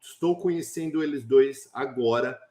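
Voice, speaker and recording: A man hosting an interview, enthusiastic and quick with his prompts. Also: mid-range voice, dark tone, roomy sound. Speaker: host. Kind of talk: casual interview, prompting guests.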